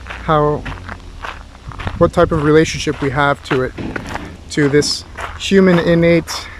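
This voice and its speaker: Guy with a slightly high voice thinking out loud on a walk, halting and rambly, noisy outdoors. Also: fairly even intonation. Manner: musing, halting, searching for words. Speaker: philosophy tutor and vlog host. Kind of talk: rambling, reflective monologue recorded while walking.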